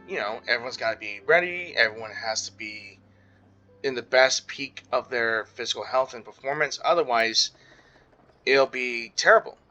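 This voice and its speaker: A man giving casual, rambling game commentary, halting and reacting as he goes. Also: medium pitch, bright, forceful tone. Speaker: gaming video maker. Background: game music.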